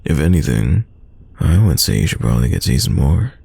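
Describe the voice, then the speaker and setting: Guy playing smitten teasing friend, super gravelly and mellow, soft flirty delivery, close dry sound. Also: big pitch swings, moderate pace, dry recording, heavily acted. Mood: playful teasing. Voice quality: very deep, gravelly voice. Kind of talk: romantic roleplay audio, intimate one-sided dialogue.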